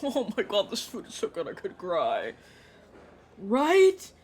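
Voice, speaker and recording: A woman's voice: medium pitch, wildly swooping pitch, slow, a little mellow, breathy edge, soft background music, heavily acted. A woman playing a chatty, playful young male vampire mentor in an audio roleplay, talking casually to the listener. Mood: gushing. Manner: teasing, casual, dramatic about food. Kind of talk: audio roleplay acting, addressing the listener directly.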